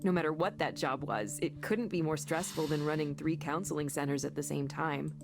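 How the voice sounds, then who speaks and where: A frank, kindly game character, a co-worker who speaks conversationally. Her voice sits a little low, over quiet music. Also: fairly quick, vocal fry. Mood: friendly curiosity. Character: candid, weary but kindly woman, friendly co-worker. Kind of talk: visual novel voice acting.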